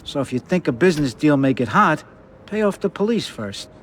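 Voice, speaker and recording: Casting: a hesitant, downbeat mob sibling in a crime game, on the higher side. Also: male voice, big pitch swings, a little fast, background noise. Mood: subdued. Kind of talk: video game cutscene acting.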